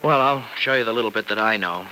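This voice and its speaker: An actor playing an earnest, plain-spoken young farmer with folksy, insistent delivery in an old-time radio soap. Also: male voice, on the low side, fast, bright, forceful tone. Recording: noisy vintage radio recording.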